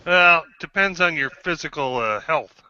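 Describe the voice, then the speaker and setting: A man in his fifties, a guest on an online panel, speaking haltingly with many pauses and restarts. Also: on the high side, lively intonation, bright, forceful tone, muffled recording, slight background noise. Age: 50s. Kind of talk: casual group chat.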